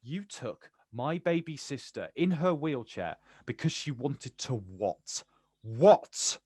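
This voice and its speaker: An actor playing a bitter, protective older brother, confrontational and incredulous, in a naturalistic stage drama. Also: male voice, mid-range voice, animated, slow pace, dark tone, breathy edge, no echo, studio quality. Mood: incredulous, accusing. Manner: confrontational, incredulous, clipped questions.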